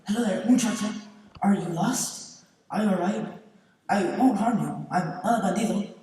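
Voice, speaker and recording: A distant, echoing stage recording of a man acting as a stubborn but kindly old man. His voice is a little high and mellow, and he speaks earnestly and deliberately as in live theatre. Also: soft background music, close to an everyday voice. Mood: reassuring. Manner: earnest, deliberate, Spanish words mixed in. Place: theatre stage, far camera. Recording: echoey theatre hall from far off.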